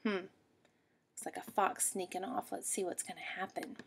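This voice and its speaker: A woman's voice: mid-range voice, flat delivery, normal speed, slight background noise. A woman teacher reading a picture book aloud to young children, explaining patiently and checking in with questions.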